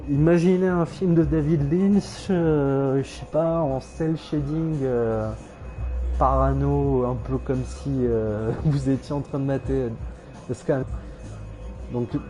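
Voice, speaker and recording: A man's voice: medium pitch, slow, warm tone, faint music behind, rough recording. A man streaming a game, rambling hesitantly with many fillers and self-corrections, with dry irony. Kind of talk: casual rambling game commentary.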